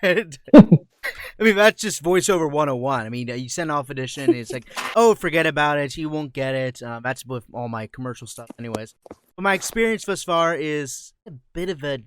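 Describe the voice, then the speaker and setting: A man's voice: on the high side, animated, normal speed, noisy background. A man speaking casually as a voice actor guest in an online interview, on a home microphone setup. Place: online voice call. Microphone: close home voiceover microphone.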